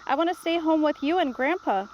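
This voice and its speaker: Woman reading as an anxious, inquisitive young child, high-pitched. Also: lively intonation, fairly quick, darkish tone, highs cut off, a little hiss or hum. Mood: worried, curious. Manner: questioning, worried. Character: anxious, inquisitive young grandchild starting kindergarten. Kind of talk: children's storybook read-aloud.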